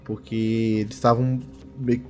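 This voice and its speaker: A man's voice: mid-range voice, level tone, normal speed, faint music behind, lightly acted. A man playing a nervous office worker questioned as a witness, hesitant, stammering and repeating his words in a tabletop game. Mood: anxious, hesitant.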